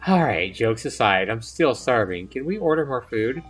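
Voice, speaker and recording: A man's voice: mid-range voice, very animated, normal speed, soft background music, lightly acted. Man reading as a prickly, snarky young woman. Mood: teasing, blunt. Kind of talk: visual novel character read aloud.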